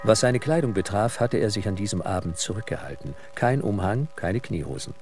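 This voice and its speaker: A man narrating an audio drama in a measured, suspenseful, literary style. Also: lowish voice, animated, over loud music.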